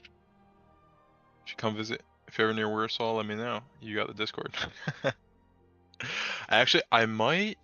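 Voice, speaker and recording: A man's voice: lowish voice, vocal fry, bright, forceful tone, smooth. A young American man chatting casually on a game coding stream, rambling and laughing as he answers chat, through a dull lo-fi mic.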